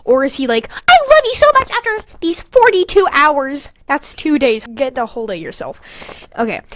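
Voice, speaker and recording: A young woman hosting a podcast, casually reading aloud and mocking her own writing in an animated, sweary, giggly way. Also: sits high, moderate pace, dry recording. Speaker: podcast host. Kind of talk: casual comedic commentary while reading own old fanfiction aloud.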